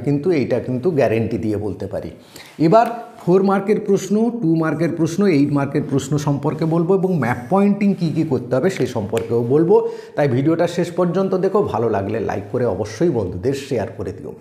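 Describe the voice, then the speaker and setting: A man's voice: on the high side, warm tone. A man with an Indian Bengali accent teaching exam tips in an informal, instructive way, repeating for emphasis and mixing in English terms. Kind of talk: informal exam-preparation tutorial. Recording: echoey room.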